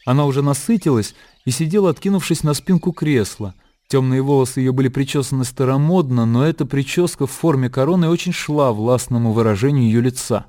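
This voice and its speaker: A man's voice: mid-range voice, a little fast, dark tone. A man reading scripted literary narration as the narrator of a radio drama.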